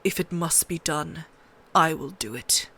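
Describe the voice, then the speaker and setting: A woman's voice: low voice, unhurried, bright, forceful tone, breathy edge, voice pushed down, full character voice. A woman voicing a grave, weary, honourable lord in an audiobook, with a restrained manner. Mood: grim resolve. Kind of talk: audiobook character voice.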